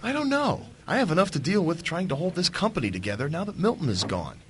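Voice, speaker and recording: A man as a touchy, animated businessman. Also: on the high side, quick pace. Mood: offended, defensive. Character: proud, touchy male tech company partner. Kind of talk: radio mystery acting.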